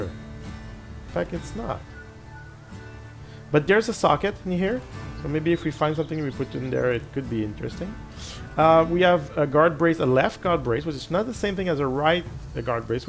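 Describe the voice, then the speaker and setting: Gravelly French-native man over game music. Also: fairly high pitch. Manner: rambling, self-deprecating, thinks aloud with many restarts. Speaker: gaming channel host. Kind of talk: casual gameplay commentary.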